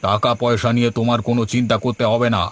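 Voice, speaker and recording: A man's voice: medium pitch, little pitch movement, very fast, natural voice. A man voicing an older, authoritative village landlord in a cartoon, with an emphatic way of talking. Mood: hearty. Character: older village landlord, blunt, hearty, commanding patron. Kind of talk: cartoon story dialogue.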